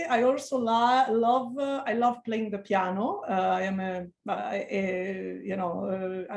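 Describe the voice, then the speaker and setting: A woman's voice: mid-range voice, echoey room. A middle-aged woman with an Italian accent, a researcher being interviewed, speaking earnestly with many hesitant restarts and fillers. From Italy. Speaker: liver researcher, interview guest. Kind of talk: video call interview.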